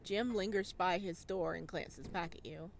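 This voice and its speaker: A playful, expressive woman streamer reading a visual novel aloud and adding her own reactions. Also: on the low side, moderate pace, creaky, a little hiss or hum. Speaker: video game streamer.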